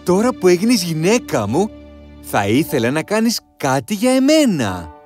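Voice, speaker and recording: Coaxing young prince, put on by the narrator, lively voice that swoops a lot. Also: male voice, sits high, faint music behind, voice pushed up. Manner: coaxing, insistent.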